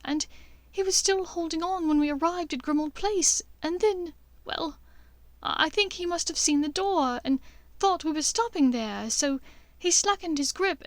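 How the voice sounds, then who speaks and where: A woman's voice: extremely high pitch, no echo, pitched above the performer's own voice. A woman voicing an anxious young witch, urgent and pleading, in an audiobook story.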